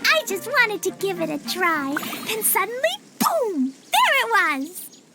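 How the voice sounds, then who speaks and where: A woman voicing a cheerful, childlike little girl in an anime fantasy game, squeaky and excitable. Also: a little slow, heavy background noise, heavily acted. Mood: excited. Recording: music behind.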